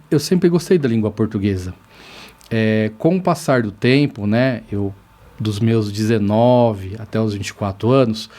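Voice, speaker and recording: A man's voice: fairly low pitch, mellow. Male lawyer from São Paulo, 40s, podcast guest, rambling and thankful storyteller. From São Paulo, Brazil. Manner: rambling, grateful, self-correcting. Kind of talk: casual interview storytelling.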